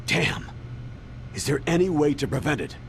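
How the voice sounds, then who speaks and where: An actor playing an older military commander in a video game, grave, measured and earnest, speaking with weary authority. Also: male voice, on the high side, sing-song, vocal fry, slight background noise. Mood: grave, pressing.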